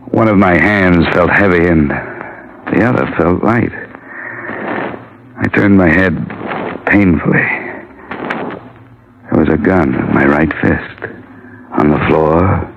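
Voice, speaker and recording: An actor playing a world-weary, hard-boiled investigator in an old radio noir drama, speaking in terse, dry phrases. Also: male voice, very deep voice, gravelly, exaggerated voice. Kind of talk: old-time radio noir narration and acting. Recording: muffled old radio recording.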